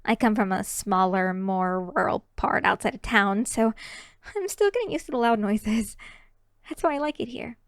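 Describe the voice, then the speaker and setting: A woman's voice: medium pitch, lively intonation, moderate pace, no echo, clean studio sound, natural voice. A woman voicing a shy, cheery young kitsune barista in an ASMR roleplay, chatting to the listener in a bashful, hesitant, giggly way. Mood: shy, chatty.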